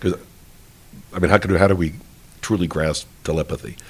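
A man's voice: deep voice, steady tone, fairly quick, darkish tone, husky. A man hosting a casual conversational interview in a rambling, folksy, self-deprecating way. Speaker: interview host.

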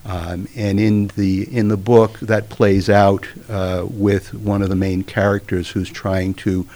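A middle-aged man from Brooklyn with a Northeastern US accent, giving reflective interview answers in a measured, halting, explanatory way. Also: lowish voice, flat delivery, mellow. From Brooklyn, New York, USA. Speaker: interview guest, author and former physician.

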